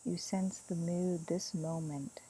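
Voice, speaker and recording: A woman leading a guided meditation as a teacher, speaking gently and soothingly in soft phrases with long pauses. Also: on the low side, fairly even intonation, breathy edge, poor audio.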